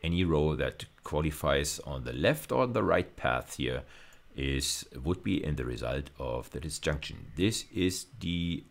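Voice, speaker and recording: A man's voice: rumbling low, lively intonation, measured pace, vocal fry. A man giving a technical university video lecture, explaining step by step and often repeating and rephrasing his phrases.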